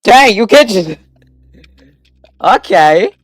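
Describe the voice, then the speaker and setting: A man's voice: high voice, lively intonation, slow pace, no echo. A man hosting a reaction video with casual, joking commentary and informal interjections.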